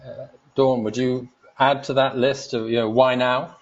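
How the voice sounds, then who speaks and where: A man moderating a panel, asking probing questions with lots of halting restarts. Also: mid-range voice, level tone, highs cut off.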